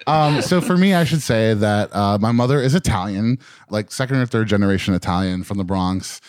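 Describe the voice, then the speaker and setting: A man from Westchester County, New York, hosting a casual group podcast conversation and asking questions in a relaxed, chatty way. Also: lowish voice, dry recording. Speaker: podcast host. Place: indoor room.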